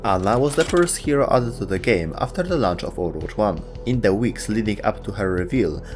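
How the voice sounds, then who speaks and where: A man's voice: fairly low pitch, a little mellow. A man reading scripted narration for a video game lore explainer. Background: music.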